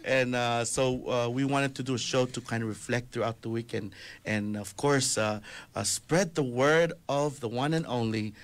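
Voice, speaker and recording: A man hosting a casual radio chat in a small studio, friendly and full of filler pauses, speaking into a table mic. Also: medium pitch. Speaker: radio show host.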